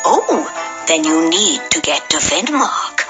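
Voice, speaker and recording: A woman playing a helpful elderly Lapland village woman in a children's storybook app, speaking deliberately and expressively. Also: deep voice, big pitch swings, exaggerated voice. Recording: music behind the voice.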